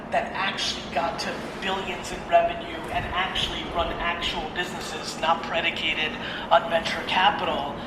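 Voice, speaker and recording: A man giving a public talk in an earnest, emphatic manner. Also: high-pitched. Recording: distant hall recording with loud noise.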